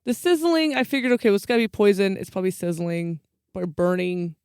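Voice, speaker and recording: A woman podcast co-host talks through folklore in a casual, conversational style. Her voice sits at a middling pitch for a woman. The sound is close and dry, with very little room on it. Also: fast, darkish tone, smooth, no room sound. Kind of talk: casual explanatory chat.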